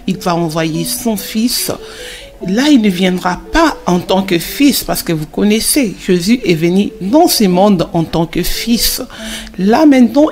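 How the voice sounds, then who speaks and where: A woman's voice: mid-range voice, a little mellow. Encouraging mother teaching, a woman acting, over music. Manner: didactic, encouraging, repeats phrases for emphasis. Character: gentle, devout queen mother teaching her children. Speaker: mother teaching children about the Bible. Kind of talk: religious children's cartoon dialogue.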